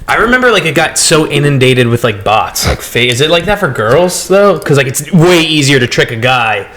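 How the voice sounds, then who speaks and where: A man's voice: on the high side, very animated, moderate pace, fairly bright tone. Rambling young man podcast co-host. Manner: rambling, joking asides, many "like" fillers. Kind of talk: casual comedy podcast chat.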